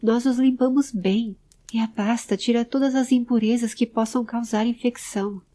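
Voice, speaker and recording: A woman voicing a serious, pensive male adventurer who keeps calm and gives instructions in an audio drama. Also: fairly high pitch, moderate pace, lightly acted. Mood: worried, pensive. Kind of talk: audio drama character acting.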